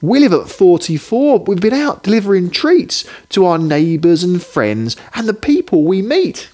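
A man's voice: high voice, very animated, moderate pace, warm tone, pitched above the performer's own voice. A man voicing a kind, grateful mother in a children's storybook, effusive in manner. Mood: grateful, delighted.

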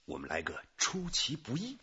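An actor playing a brisk, assertive police detective in a radio drama. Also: male voice, medium pitch, wildly swooping pitch, highs cut off, background noise. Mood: firm, confronting.

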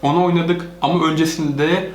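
A man's voice: fairly high pitch, steady tone, moderate pace. A man hosting a casual let's-play, narrating each move for viewers as a parent playing along with a child. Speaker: gaming channel host, parent playing with child. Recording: echoey room, faint noise.

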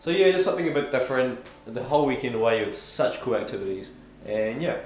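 A young man, croaky with a cold, chatting upbeat to camera in his living room, filming at arm's length. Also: medium pitch, expressive, quick pace, slight background noise, low-quality recording. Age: young adult. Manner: chatty, upbeat, filler-heavy. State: hoarse with a cold. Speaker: vlogger. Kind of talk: casual vlog chat to camera. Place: living room at home, indoors.

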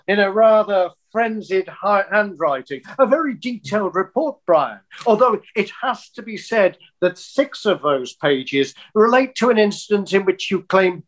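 An actor playing a polite, exasperated county football official, formal and tactful, on a video call. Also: male voice, high-pitched, fairly bright tone. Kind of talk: filmed comedy acting on a video call.

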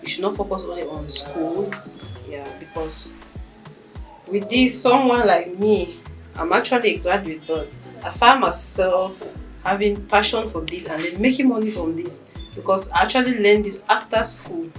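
A woman's voice: medium pitch, normal speed, soft background music. A young woman, a spa esthetician answering interview questions plainly and with confidence, in a small treatment room. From Cameroon. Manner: plain, self-assured, brief answers.

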